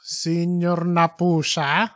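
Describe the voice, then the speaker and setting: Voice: mid-range voice, flat delivery, extremely slow, fairly bright tone. A performer voicing a respectful, inquisitive young male interviewer in an audiobook story. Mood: puzzled. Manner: respectful, questioning. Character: polite, curious young male interviewer, easily baffled. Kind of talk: fictional audiobook character dialogue.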